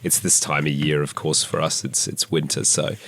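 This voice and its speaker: A man's voice: extremely low pitch, steady tone, normal speed, fairly bright tone. A man with an Australian accent and a head cold, co-hosting a podcast, reading news figures and quotes with dry asides. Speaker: podcast co-host.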